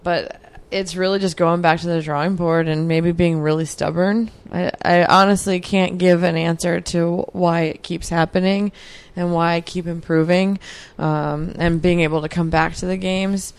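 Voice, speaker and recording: A woman's voice: lowish voice, fairly even intonation, moderate pace, clean tone. A woman in her mid-thirties, a guest answering interview questions in a reflective, earnest way with many fillers. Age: 30s. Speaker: podcast guest, athlete.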